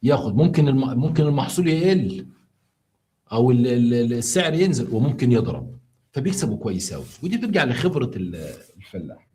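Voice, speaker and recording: A tired man with an Egyptian Arabic accent tells a true story to viewers on a live stream, chatty and full of halting restarts as he explains things along the way. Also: medium pitch, big pitch swings, dark tone.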